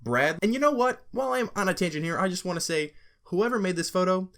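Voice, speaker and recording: Animated, sarcastic young male video host. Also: high-pitched, fast. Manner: sarcastic, joking, profane asides. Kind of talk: casual scripted video commentary on gaming.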